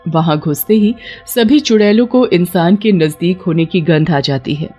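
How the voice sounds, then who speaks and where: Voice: medium pitch, moderate pace, mellow, soft background music. A narrator reading a scripted story for a horror cartoon.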